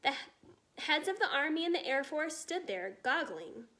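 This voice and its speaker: A woman giving an expressive scripted narration of a children's storybook. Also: sits high, normal speed. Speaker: storybook reader.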